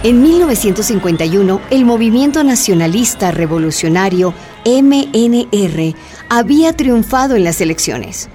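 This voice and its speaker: A woman's voice: medium pitch, lively intonation, moderate pace, over music. A woman narrating a scripted radio drama.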